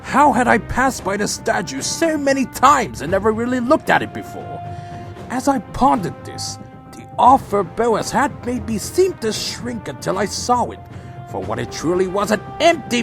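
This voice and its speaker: Man as a high-pitched brooding vampire warrior. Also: big pitch swings, a little slow, vocal fry, a bit bright, soft background music, heavily acted. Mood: brooding, resolute. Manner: solemn, formal, dramatic. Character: proud male vampire warrior, loyal to his clan, brooding. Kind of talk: audio drama dialogue and first-person narration.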